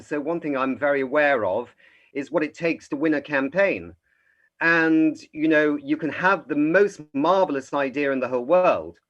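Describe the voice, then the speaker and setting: Man speaking over dull call audio. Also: mid-range voice. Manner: steady, persuasive, lightly hesitant. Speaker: guest speaker. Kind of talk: short address on a call. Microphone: video call.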